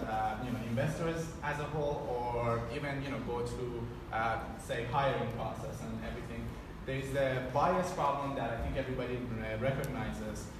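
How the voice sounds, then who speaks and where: Man, panelist, thoughtful and halting, echoey room, distant mic, background noise. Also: medium pitch. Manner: thoughtful, many fillers and restarts. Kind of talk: panel discussion, explaining. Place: event hall.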